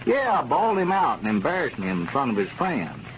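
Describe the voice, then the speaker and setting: An actor playing a reasonable, plain-spoken small-town peacemaker in a 1930s radio comedy. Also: male voice, medium pitch, huge pitch swings, brisk. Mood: earnest, reasoning. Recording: scratchy, muffled 1930s radio recording.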